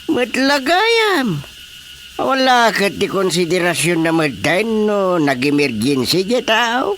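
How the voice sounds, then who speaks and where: A man acting in an Ilocano radio comedy-drama as an expressive, opinionated villager reacting to gossip, with an Ilocano Filipino accent. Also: high voice, slow pace, slight background noise, heavily acted. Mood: surprised, sympathetic.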